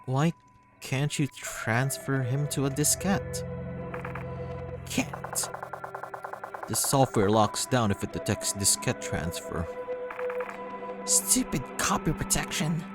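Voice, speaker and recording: A woman reads visual novel dialogue in a very low register. Her delivery is slow and swings widely in pitch as she moves between characters. Music plays under the voice. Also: animated. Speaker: game reader doing all voices. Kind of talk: dramatic read-through of visual novel dialogue.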